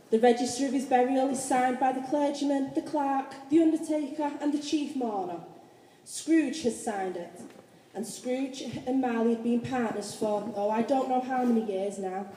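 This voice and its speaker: A woman's voice: high voice, mellow, a little hiss or hum. A woman narrating a story for a radio play in a scripted, dramatic storytelling style. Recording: echoey hall.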